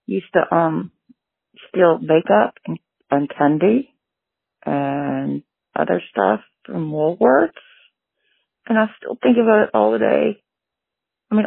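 A man phoning in a confession, slow and halting. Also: high-pitched, vocal fry, breathy edge, no echo. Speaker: caller. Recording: dry phone line.

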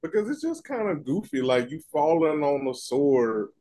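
A man co-hosting a casual gossip podcast, animated and profane, cracking jokes as he talks. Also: a little high, normal speed. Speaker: podcast co-host.

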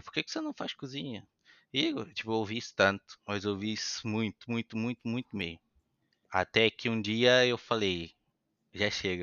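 A young Brazilian man with a light European Portuguese influence in his accent, a podcast guest telling his story in a lively, chatty way. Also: on the low side, clean tone, no echo, highs cut off. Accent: Brazilian Portuguese with some European Portuguese influence. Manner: animated, chatty, acts out quoted voices. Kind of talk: casual storytelling interview.

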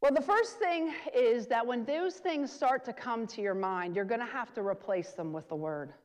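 A woman's voice: a little high, normal speed, darkish tone. A woman preacher giving a sermon, urging her listeners and repeating key phrases for emphasis. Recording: long hall reverb.

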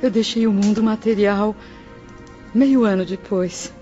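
An actress playing the sorrowful spirit of an old mother in a radio drama, mournful, solemn and drawn-out. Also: medium pitch, warm tone, lightly acted. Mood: sorrowful love. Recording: dull radio drama recording with music.

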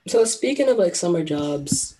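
A man telling stories in casual chat, expressive and laughing. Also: high-pitched, normal speed, poor audio.

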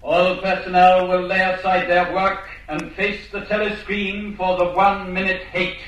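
An actor playing a cold, relentless state telescreen announcer in a radio drama, declaiming commands in a measured way. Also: male voice, high-pitched, level tone, fairly bright tone, muffled recording. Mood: hateful, relentless. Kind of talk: 1950s-style radio drama acting. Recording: echoing distant speaker, faint noise.

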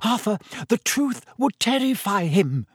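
A man voicing a brisk, scolding, fussing mother of a big family in a storybook. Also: high-pitched, big pitch swings, slightly rough, dry recording, pitched above the performer's own voice, heavily acted. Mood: shrill, anxious.

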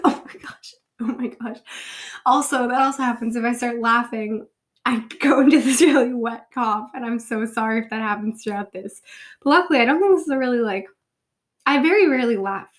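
Congested young woman chatting casually. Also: fairly high pitch. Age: 20s. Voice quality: nasal, sniffly. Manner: rambling, self-deprecating, giggly. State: congested from a cold. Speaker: podcast host. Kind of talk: casual solo chat.

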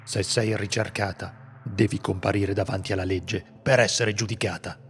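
A man playing a stern, gravelly hunter. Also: on the low side, fairly even intonation, normal speed, slight background noise, lightly acted. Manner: grave, firm. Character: stern, law-bound male monster hunter. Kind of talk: Italian radio drama acting.

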